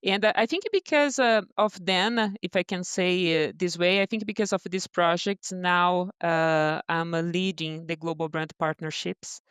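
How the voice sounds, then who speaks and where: Brazilian woman guest, smooth low voice, dry close sound, halting English. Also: steady tone, fairly bright tone, no room sound. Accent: Brazilian Portuguese. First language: Portuguese. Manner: hesitant, many fillers and restarts. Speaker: guest, brand partnerships director. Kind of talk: podcast interview answers.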